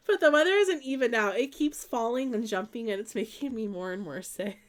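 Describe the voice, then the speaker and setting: A woman's voice: a little high, lively intonation, moderate pace. A young woman from the Midwest, stuffed up with allergies, chatting casually with viewers on a live stream and rambling with lots of ums and likes. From American Midwest.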